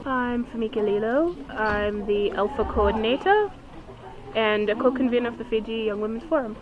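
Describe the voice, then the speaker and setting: A woman's voice: on the high side, moderate pace. A woman, a coordinator, giving an informative interview report. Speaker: forum coordinator and co-convener. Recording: muffled, busy crowd chatter behind.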